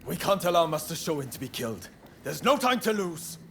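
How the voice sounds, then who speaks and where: An actor playing a fiercely loyal, impatient young samurai with a blunt, urgent way of talking. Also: male voice, a little high, sing-song, brisk, slight background noise. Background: loud music.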